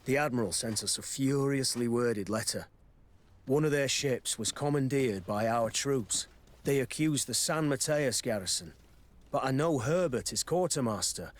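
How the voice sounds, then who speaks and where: An actor playing a serious, worried military quartermaster in a video game, with a formal delivery. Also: male voice, medium pitch, close to an everyday voice. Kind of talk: dramatic video game dialogue.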